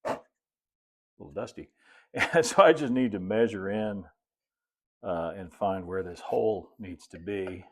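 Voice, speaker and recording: Middle aged american guy, lowish gravelly voice, casual folksy how-to talk while he works, takes his time. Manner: unhurried, folksy, thinking aloud while working. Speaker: host, model railroad builder. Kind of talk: casual hands-on tutorial talk while working. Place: indoor hobby workshop at a cluttered workbench and layout.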